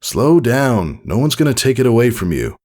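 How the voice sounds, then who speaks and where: A man voicing a cheerful, commanding pegasus pony father in a storybook reading, playful and gently bossy. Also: mid-range voice, very animated, moderate pace, pitched above the performer's own voice. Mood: easygoing.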